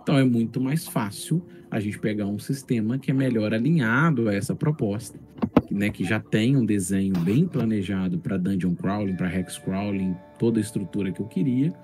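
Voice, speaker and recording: Bright-voiced middle-aged Brazilian man explaining. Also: fairly low pitch, moderate pace. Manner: articulate, explanatory, seeks agreement with tags. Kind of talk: casual explanatory podcast talk. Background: faint music.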